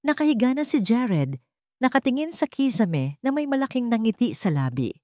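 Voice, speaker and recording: A woman's voice: on the high side, big pitch swings, normal speed, darkish tone, no echo, clean studio sound. A woman narrating a scripted dramatic story as an audiobook narrator.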